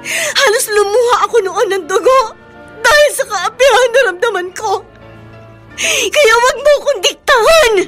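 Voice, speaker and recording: Emotional high-voiced young Filipino mother. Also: expressive, normal speed, fairly bright tone, faint music behind. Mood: bitter, tearful. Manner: emotional, dramatic delivery. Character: aggrieved young mother, tender with her child, unforgiving. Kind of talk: Filipino radio drama acting.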